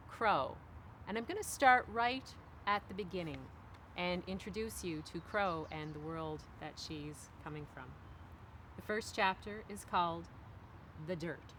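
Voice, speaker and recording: A woman's voice: lowish voice, fairly bright tone. Middle-aged woman reading aloud expressively outdoors. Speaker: author reading from their novel. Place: outdoors on a park bench among trees. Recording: faint outdoor background noise.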